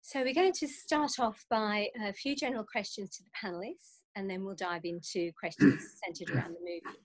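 A woman's voice: mid-range voice, moderate pace, low-quality recording. A young woman, politely reading out prepared questions as a panel moderator on a video call. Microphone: video call webcam.

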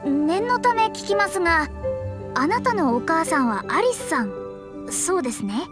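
A woman's voice: extremely high pitch, big pitch swings, normal speed. A woman playing a proud, confident young female astrologer in an anime game, speaking politely with a boastful, self-assured manner. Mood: insistent. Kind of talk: anime game character dialogue. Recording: background music under clean voice.

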